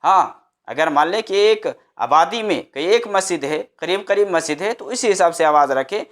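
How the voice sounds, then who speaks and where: A man's voice: sits high, animated, moderate pace, a bit bright. A man giving an Islamic religious lecture, explaining step by step and repeating phrases for emphasis. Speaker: Islamic preacher. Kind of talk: religious lecture with scripture quotations.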